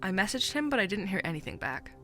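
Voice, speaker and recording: An actress plays a keen young woman sleuth in an audio drama, with a bright, forceful voice. She talks eagerly and teasingly to a friend, with music underneath. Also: mid-range voice, very animated, quick pace. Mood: proud, eager.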